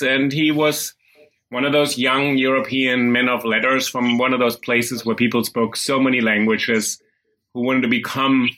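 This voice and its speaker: A man's voice: medium pitch, low-quality recording. A man whose first language is German, hosting a podcast and interviewing a guest in a thoughtful, earnest way. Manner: thoughtful, earnest, lively when chatting. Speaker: podcast host. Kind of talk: interview and scripted intro.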